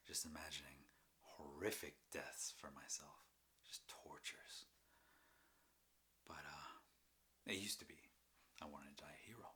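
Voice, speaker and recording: A man performing a halting, rambling confessional monologue as an anguished, troubled man, with sighs and bitter emphasis. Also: a bass voice, bright, forceful tone, heavily acted. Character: anguished, mentally ill man confessing and defending his drinking. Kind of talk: dramatic stage monologue, confessional.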